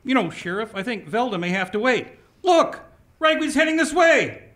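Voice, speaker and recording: A man voices an eager, good-natured townsman in a comic radio play. His voice is high and bright, and it rises and falls a lot. He sounds earnest. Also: big pitch swings, moderate pace, full character voice. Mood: urgent. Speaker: Phil Tempest, a townsman. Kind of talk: comic radio play performed before an audience.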